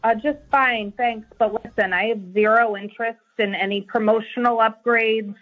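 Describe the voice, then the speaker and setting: A woman's voice: on the high side, fairly even intonation, normal speed. A middle-aged woman talking on a phone call in a curt, haughty, scornful manner. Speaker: prank call target. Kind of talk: phone conversation. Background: music.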